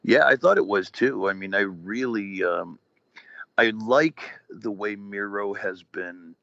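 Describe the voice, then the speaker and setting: A man's voice: on the low side, slow pace, vocal fry, bright, forceful tone, no echo. A man in his forties chatting casually on a podcast, rambling with lots of fillers and wrestling slang. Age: 40s. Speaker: guest, retired wrestler. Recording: dull lo-fi podcast audio, dry.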